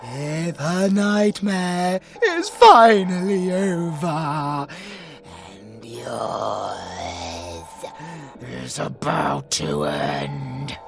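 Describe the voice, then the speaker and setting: Monster villain, British, audio roleplay: sadistic, menacing, drawn-out gravelly delivery, theatrical taunts, faint music underneath. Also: male voice, a little high, sing-song, exaggerated voice. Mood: gloating. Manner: theatrical and taunting, swinging from slow hushed purrs to shouts. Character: sadistic male monster villain, gloating, menacing, hungry for prey.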